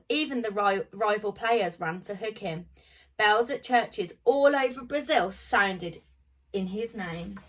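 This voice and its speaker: A woman with a husky voice and a middling pitch, speaking like a primary teacher at story time. She is informal and chatty as she addresses young children, recorded a little way from the microphone. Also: a bit bright, far from the mic, low-quality recording. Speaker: primary school teacher reading to pupils. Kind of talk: reading a children's picture book aloud with informal asides.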